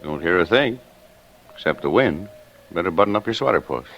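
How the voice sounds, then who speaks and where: Low-voiced, expressive, teasing father. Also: highs cut off, slight background noise. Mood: indulgent. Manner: measured, jocular, mock-formal, sternly paternal. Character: genial, teasing father, firm when pestered. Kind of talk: 1940s-50s radio drama acting.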